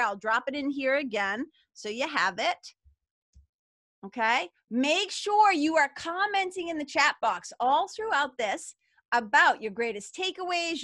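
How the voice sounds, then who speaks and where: A chatty, enthusiastic middle-aged woman with a thick Boston accent greets her webinar audience. Her voice sits high and bright. The sound is dry and close, as if from a computer mic on a video call. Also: no room sound. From Massachusetts. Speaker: webinar co-host. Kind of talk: live webinar, greeting viewers in the chat.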